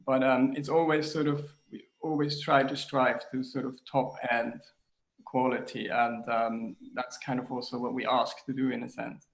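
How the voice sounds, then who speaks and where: Man from Switzerland, English speaker, halting speech full of ums, home kitchen, video call. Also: medium pitch, flat delivery, gravelly, rough recording. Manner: hesitant, many fillers and restarts. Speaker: designer, interview guest. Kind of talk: casual video-call interview.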